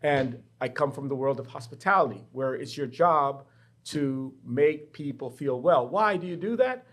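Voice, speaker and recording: A middle-aged man from the Bahamas speaks as a professor guest in a candid interview. He tells his own story in a reflective, personal way, at an ordinary pitch for a man. He is recorded in a university studio. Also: expressive, normal speed.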